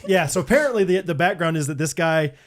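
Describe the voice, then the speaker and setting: A man's voice: on the high side, expressive, fast. A man co-hosting a podcast, telling a story in casual chat with lots of filler words. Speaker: podcast co-host.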